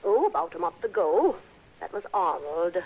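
An actress playing a genteel older widow in a 1960s radio drama, sweet, trusting and easily flustered, with a hesitant manner. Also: female voice, mid-range voice, big pitch swings, normal speed, creaky, slightly rough, exaggerated voice. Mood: flustered, earnest. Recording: dull, lo-fi vintage radio recording.

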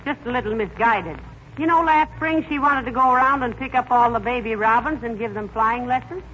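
An actress playing a sensible, dryly witty wife who gently scolds, in a 1940s radio comedy. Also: female voice, on the high side, a little fast, natural voice. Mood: gracious, dry. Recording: muffled, very noisy 1940s radio recording.

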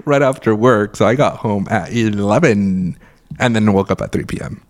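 A young man with a fairly low voice, hoarse and raspy from just waking up. He rambles through casual stories with a joking, laughing manner, chatting as a podcast co-host. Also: moderate pace. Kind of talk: casual chat.

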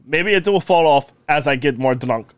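A man co-hosting a casual podcast, joking around in a put-on Russian accent with slow, deliberate phrasing. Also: on the high side, animated, clean tone, no room sound. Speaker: podcast co-host.

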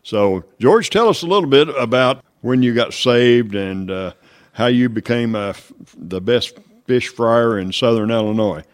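Male, American, radio host, folksy, mid pitch, casual interview, short affirmations. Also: animated, a little slow.

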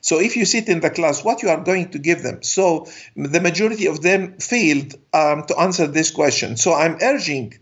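A middle-aged or older man, a native Arabic speaker with an Arabic accent, giving advice in an interview in a halting way full of hesitations. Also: a little high, husky, lo-fi sound. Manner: halting, hesitant delivery with frequent long fillers. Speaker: guest, sports business consultant.

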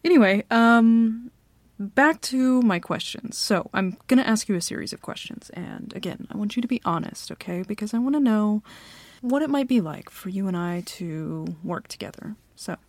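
A woman playing a bossy female CEO who interviews an applicant in a flirty ASMR roleplay, talking softly with many hesitant fillers and a teasing edge. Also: medium pitch, expressive, normal speed, dry recording.

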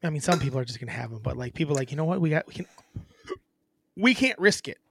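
A woman's voice: sits low, expressive, fast talker, gravelly, husky, dry recording, studio quality. A young woman co-hosting a podcast, blunt and crude, teasing her co-host in casual chat.

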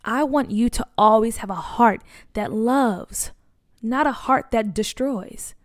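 A woman voicing a caring mother who speaks slowly and firmly to her son in a storybook. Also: fairly high pitch, no echo, studio quality. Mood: gentle. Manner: slow, firm, instructive. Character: caring mother, firm and loving.

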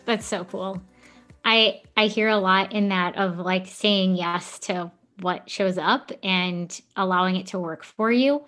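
A woman's voice: mid-range voice, unhurried, fairly bright tone, soft background music. A woman in a casual podcast conversation, agreeing and relating, laughing easily.

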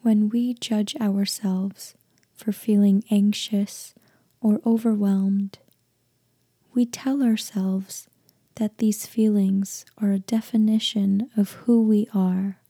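Female meditation guide, soft half-whisper, very warm and relaxed tone, gentle slow delivery, close dry sound. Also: mid-range voice, breathy edge, no echo. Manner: gentle, hushed, deliberate with long pauses.

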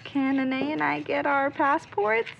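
A woman's voice: high voice, measured pace, vocal fry, heavily acted. A woman playing a headstrong, exasperated young amateur sleuth in an audio drama, with slight noise on a muffled recording. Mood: hesitant. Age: young adult. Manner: defiant, exasperated.

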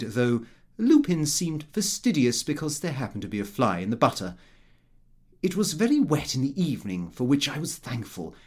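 A man reading a comic first-person diary as an audiobook, in a prim, expressive, self-important manner. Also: mid-range voice. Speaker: audiobook narrator.

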